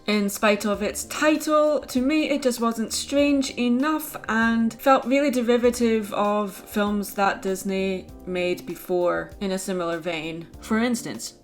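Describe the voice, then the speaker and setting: A woman giving opinionated film commentary as a video essayist, conversational with exclamatory asides. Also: mid-range voice.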